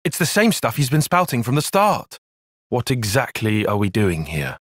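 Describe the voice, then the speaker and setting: A man playing a cerebral, earnest young soldier and strategist in a video game, speaking in precise, formal, analytical language and quick to exasperation. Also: medium pitch, huge pitch swings, fairly bright tone, no room sound, clean studio sound. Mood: exasperated. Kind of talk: video game cutscene voice acting.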